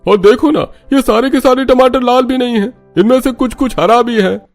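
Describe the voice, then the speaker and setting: A man's voice: very high voice, normal speed. A man playing a picky, fault-finding vegetable trader in a cartoon story. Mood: critical. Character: picky, critical male vegetable trader at market. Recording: music behind the voice.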